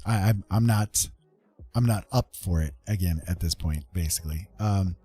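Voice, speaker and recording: A man talking casually and candidly as a livestream host, confiding in listeners in a halting, conversational way. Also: deep voice, warm tone, slightly rough, soft background music.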